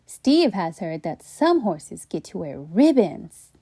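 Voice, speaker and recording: Woman reading a children's story, very animated pitch. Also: takes it slowly, breathy edge, no room sound. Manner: expressive, playful asides to young listeners. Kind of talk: animated storybook read-aloud.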